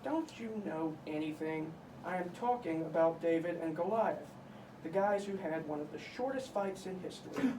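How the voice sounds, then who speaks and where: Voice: medium pitch, expressive, moderate pace, lightly acted. A performer voicing a boastful, bossy puppet storyteller, pompous and emphatic, telling comic Bible tales. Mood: scornful, exasperated. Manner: pompous, emphatic, mock-scholarly. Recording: echoey room, distant mic, faint noise.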